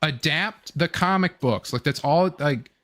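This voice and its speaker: A young adult man hosting a casual online fan discussion, talking in a rambling, halting way with fragmented phrasing. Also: a little high, lively intonation, fairly bright tone. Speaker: online show host. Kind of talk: casual fan commentary, reading chat comments.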